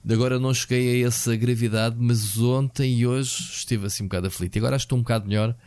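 A hoarse man with a European Portuguese accent, co-hosting a podcast in a relaxed, rambling chat full of fillers and restarts. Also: medium pitch, very fast, dry recording. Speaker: podcast co-host. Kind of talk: casual chat about video games.

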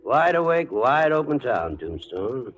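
Wily old rancher, swooping drawl. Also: male voice, medium pitch, very animated, a little slow. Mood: sly, pushy. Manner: folksy backwoods drawl, sly. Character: wily old cattle-country patriarch, pushy dealmaker, villain. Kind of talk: 1940s radio western acting. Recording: muffled, noisy 1940s radio broadcast.

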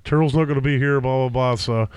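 A man hosts a casual podcast with a slightly congested, stuffy-nosed sound from a cold. His voice is smooth and resonant at an everyday pitch, close on the mic in a dry, dead basement. He rambles along with plenty of fillers and false starts. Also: steady tone, quick pace, a little mellow, dry recording. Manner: rambling with frequent fillers and restarts. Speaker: podcast host. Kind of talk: casual chat. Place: home basement. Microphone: podcast microphone.

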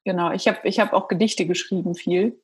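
A woman's voice: on the low side, fairly even intonation, fairly quick, slightly rough, low-quality recording. A woman interviewing her guest in a casual chat, friendly and affirming, often laughing along.

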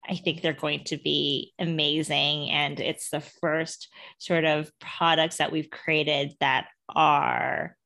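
A woman's voice: low voice, flat delivery, slow, creaky, pushed and bright. A woman in her thirties from Toronto and Hong Kong, an entrepreneur chatting through her career story with frequent ums and light laughs. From Canada and Hong Kong. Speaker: entrepreneur guest. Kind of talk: casual interview storytelling.

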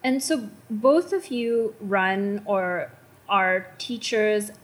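A woman moderating a panel and asking the guests questions in a measured, polite way. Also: mid-range voice. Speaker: interviewer, moderator.

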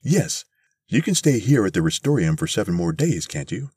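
Guy doing a slick stalling showman from a story, theatrical, raised husky voice, always placating. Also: on the low side, sing-song, moderate pace, darkish tone, no echo, clean studio sound, voice pushed up. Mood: evasive, soothing. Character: slippery, placating showman stalling his angry customers.

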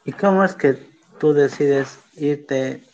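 A man's voice: a little high, takes it slowly, a little mellow, highs cut off. A man with a Peruvian accent asking casual interview questions over a remote call. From Peru.

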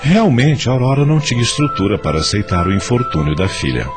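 A man's voice: medium pitch, very animated, a little fast. A man giving solemn, literary narration for a radio drama in a dramatic storytelling style. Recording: music behind the narration.

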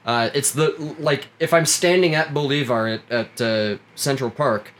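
A man in his thirties in casual comedic podcast chat, excitable and swearing, repeating himself for emphasis. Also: mid-range voice, moderate pace. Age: 30s. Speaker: podcast co-host. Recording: faint background noise.

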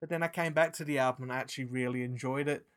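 A man with a British accent mixed with New Zealand and South African traces, co-hosting a podcast in animated, sweary casual chat. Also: mid-range voice, rapid-fire. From England. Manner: animated, swearing, trailing restarts. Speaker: podcast co-host.

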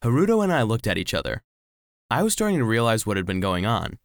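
A man's voice: on the low side, wildly swooping pitch, fast, gravelly, no echo, clean studio sound, heavily acted. A man playing a small, devoted household cleaning robot, narrating a children's audio drama earnestly. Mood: earnest, puzzled. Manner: earnest storytelling.

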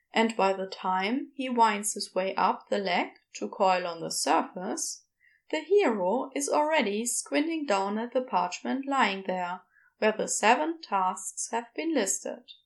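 A woman's voice: medium pitch, lively intonation, measured pace. A woman reading scripted fiction narration as the narrator of a podfic story.

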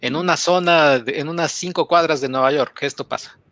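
A man's voice: fairly high pitch, brisk, a bit bright. A man, a podcast co-host who rambles casually and drops English words into his talk. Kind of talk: casual chat.

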